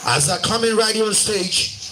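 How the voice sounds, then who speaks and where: Animated, high-pitched Nigerian Igbo male event host. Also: moderate pace. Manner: showy announcer phrasing, switches between Igbo and English. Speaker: master of ceremonies at a stage play. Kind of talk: live event hosting to an audience. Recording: noisy live event over a microphone.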